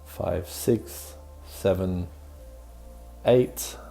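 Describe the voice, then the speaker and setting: A man with a deep, mellow voice hosts a guided meditation. He gives soothing, gently encouraging instructions at a very unhurried speed. Music plays behind him. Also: vocal fry. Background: background music.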